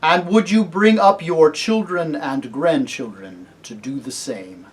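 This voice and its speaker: Male reader as kingly talking lion, storybook, grave, commanding, formal, unhurried delivery. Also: a little high, natural voice. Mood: grave, questioning. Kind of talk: storybook character reading.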